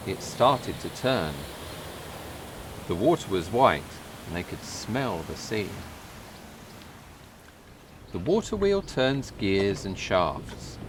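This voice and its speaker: Male storybook reader, low voice with very lively intonation, unhurried and careful, faint birdsong and ambient noise behind. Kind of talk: scripted children's story narration.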